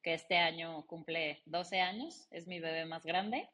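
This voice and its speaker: A woman's voice: on the low side, measured pace. A woman with a Mexican accent, chatting animatedly and telling personal stories as an interview guest. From León, Guanajuato, Mexico. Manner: animated, chatty, quotes dialogue as she tells anecdotes. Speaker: interview guest, logistics company founder and CEO. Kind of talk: casual conversational interview storytelling.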